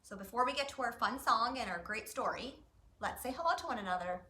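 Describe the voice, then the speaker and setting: A woman leads a story time for young children in a high, bright voice. She talks and reads to her little listeners in an animated manner. The recording has a roomy echo around her voice. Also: fast, a little hiss or hum. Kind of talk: children's story time, talking and reading aloud to young kids. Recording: roomy echo, faint music.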